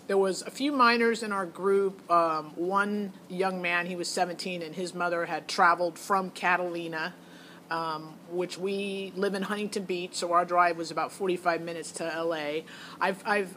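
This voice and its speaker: A woman's voice: on the low side, a bit bright, a little hiss or hum. A middle-aged American woman giving a casual, opinionated, unscripted monologue that rambles and digresses, as a parent vlogger.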